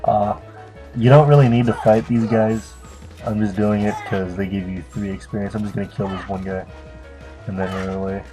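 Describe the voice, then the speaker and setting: A man's voice: lowish voice, steady tone, normal speed. A man giving casual, unscripted video game commentary, rambling and hesitant as if unsure of his facts. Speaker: let's play commentator. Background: game music and cutscene dialogue.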